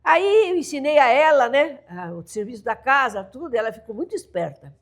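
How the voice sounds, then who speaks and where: An older woman from the São Paulo coast with a caiçara accent, telling folk stories in a lively, laughing way as an interview guest. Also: high voice, fairly quick. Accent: Brazilian Portuguese, São Paulo coast (caiçara). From São Paulo coast, Brazil. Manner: lively, laughing, voices family members in dialogue. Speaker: storyteller guest. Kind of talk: animated storytelling of folk tales.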